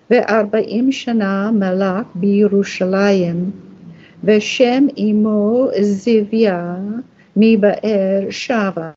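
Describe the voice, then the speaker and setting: A woman reading scripture and blessings in a deliberate, halting way, phrase by phrase. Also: mid-range voice, mellow, husky. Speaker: scripture reader. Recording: dull, muffled lo-fi audio.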